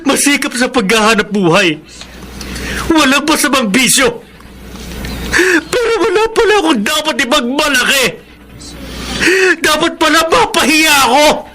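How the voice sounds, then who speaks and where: A man's voice: extremely high pitch, lively intonation, moderate pace, slight background noise, exaggerated voice, low-quality recording. An actor with a Filipino accent playing an enraged, heartbroken young son reproaching his father in a radio drama. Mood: bitter, hurt. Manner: shouting, anguished, reproachful.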